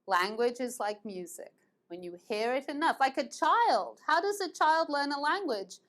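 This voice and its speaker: A woman's voice: high-pitched, expressive, normal speed, fairly bright tone. A woman teaching an online English grammar lesson, patiently repeating verb forms. She grew up between the United States and England.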